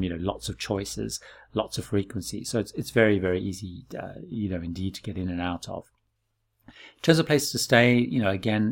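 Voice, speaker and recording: A middle-aged man with a lowish voice talks through travel tips in a loose, chatty way. He rambles, restarts his sentences and leans on fillers as he goes. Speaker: travel podcast host. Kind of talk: casual spoken travel tips.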